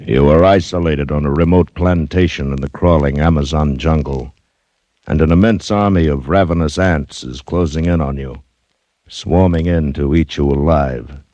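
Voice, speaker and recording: A man delivering dramatic scripted 1940s radio thriller narration in an ominous, measured, portentous way. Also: extremely low pitch, dark tone, dry recording, dull audio.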